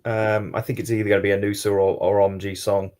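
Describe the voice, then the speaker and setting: A man with a Geordie accent, a guest pundit talking football in a measured, thoughtful way. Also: fairly low pitch, flat delivery, darkish tone. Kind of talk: casual football podcast chat.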